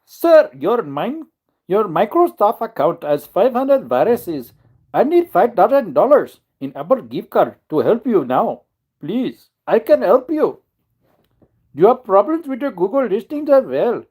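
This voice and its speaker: A man's voice: high voice, very animated, measured pace, a little mellow, slightly rough, pitched above the performer's own voice, heavily acted. A man putting on the voice of a pushy male tech-support phone scammer who begs and insists in clipped phrases. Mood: pleading.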